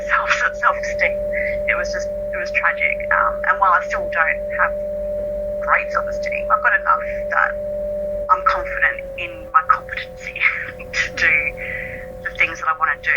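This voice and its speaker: A woman's voice: medium pitch, little pitch movement, moderate pace, dull audio, very noisy. A woman in her late thirties, a writer being interviewed, talking in a thoughtful, reflective way and laughing easily. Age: 30s. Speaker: guest writer. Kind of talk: conversational interview.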